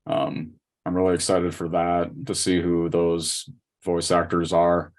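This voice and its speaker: Deep-voiced man chatting casually on a podcast. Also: monotone, a little slow.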